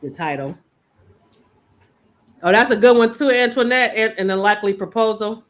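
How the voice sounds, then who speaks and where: A woman's voice: fairly high pitch, normal speed. A woman hosting a book show, chatting casually and speaking directly to her audience. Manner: chatty, addresses live audience by name. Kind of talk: casual book discussion chat.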